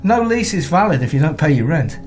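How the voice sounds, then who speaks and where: An actor playing a bewildered, impatient young British husband in a naturalistic radio drama, blunt and questioning. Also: male voice, a little high, very animated, fairly quick, darkish tone, faint music behind. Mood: confrontational. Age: young adult.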